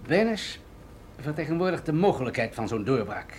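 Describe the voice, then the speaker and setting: An actor in a radio drama playing an authoritative military general, grave and deliberate as he explains things. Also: a little high, very animated, slight background noise. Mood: earnest, persuasive.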